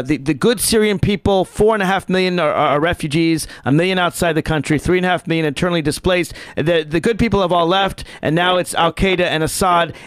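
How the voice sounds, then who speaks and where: A man's voice: fairly high pitch, no echo. A man hosting a talk radio show, pressing his guests with pointed questions. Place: radio studio.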